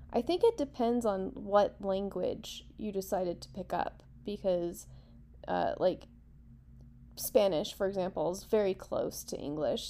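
A woman co-hosting a casual discussion, explaining her views in a relaxed, steady way with a few fillers. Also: medium pitch. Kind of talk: casual chat.

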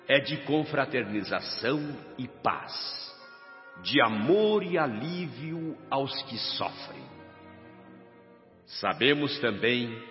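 An actor with a Brazilian accent playing a solemn governor who makes a grave, impassioned speech with dramatic pauses in a radio drama. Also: male voice, medium pitch. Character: solemn male governor of a spirit city rallying his people. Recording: dull, echoey hall reverb over music.

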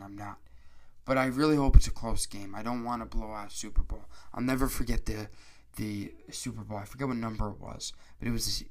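Congested, hoarse man hosting a sports podcast. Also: medium pitch. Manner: rambling, restarts often. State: congested, stuffy nose; hoarse, raspy throat. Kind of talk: casual solo podcast talk.

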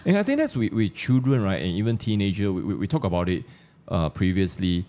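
A man with a Malaysian accent in casual discussion, haltingly repeating words as he thinks aloud. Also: lowish voice, big pitch swings, warm tone. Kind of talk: casual discussion of social issues.

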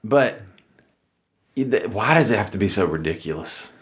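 A man's voice: on the low side, lively intonation, normal speed. A man co-hosting a podcast in casual chat, blunt and joking, often cutting in on his co-host. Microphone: studio mic close up.

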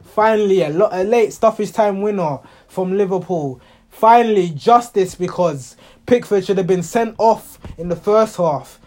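A man with a hoarse voice recapping a football match as a passionate, exasperated fan, often repeating himself. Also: high-pitched, normal speed, a little mellow.

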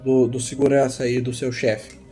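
A man's voice: medium pitch, little pitch movement, normal speed, dark tone, soft background music, voice pushed down, lightly acted. A man playing a blunt, suspicious police officer in a tabletop game, informal and provocative in his questioning. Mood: accusing, blunt.

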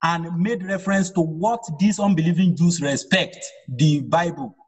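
A man's voice: on the high side, moderate pace, a little mellow, breathy edge, big-room sound, dull audio. A man whose first language is Yoruba teaches an online Bible study, explaining verse by verse and asking rhetorical questions.

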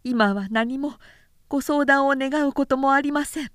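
A woman's voice: sits high, normal speed, dry recording, studio quality, voice pushed up, lightly acted. A woman voicing a grieving, resolute noblewoman in an audiobook, with plaintive, ladylike, old-fashioned speech. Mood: coy, guarded. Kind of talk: dramatic literary audiobook reading.

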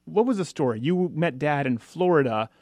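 A young adult man hosting a political talk radio show, talking fast and argumentatively with frequent asides. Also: a little high, animated, a little mellow, no echo, clean studio sound. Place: radio studio.

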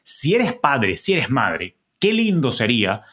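A man with a Venezuelan accent hosts a finance podcast. He talks in a lively, colloquial, playful way. His voice sits at an ordinary middle pitch for a man. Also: slow. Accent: Venezuelan Spanish. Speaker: podcast host. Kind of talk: personal finance podcast interview.

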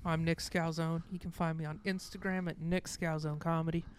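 A man's voice: a little high, flat delivery, fairly quick, slight background noise. A young man co-hosting a podcast in a small studio, joking with dry, teasing sarcasm. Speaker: podcast co-host, comedian. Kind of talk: casual podcast chat. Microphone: studio microphone.

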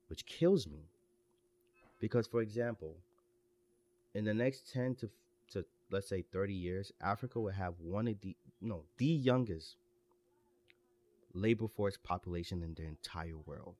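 A young man with a very deep voice shares his views in casual chat. He is earnest and often restarts, stacking repeated words as he pushes a point. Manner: earnest, stumbling restarts when worked up.

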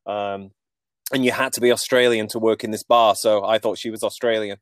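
Man, 40s, Manchester accent, smooth resonant voice, dry close sound, jokey self-mocking chat. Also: on the low side, flat delivery, a little fast, dry recording. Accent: Manchester English. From England. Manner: jokey, self-deprecating storytelling. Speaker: podcast guest. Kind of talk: casual interview chat.

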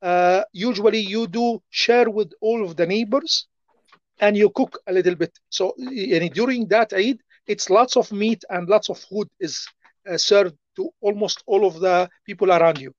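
Man, Arabic accent, high voice, halting speech, many fillers, dull dry recording. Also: dry recording. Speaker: interview guest. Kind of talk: casual interview answers.

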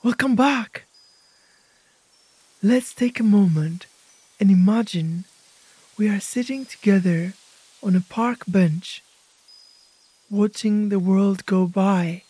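A woman narrating a guided imagery meditation as host, speaking gently in short, hushed phrases with pauses. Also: mid-range voice, husky, no echo.

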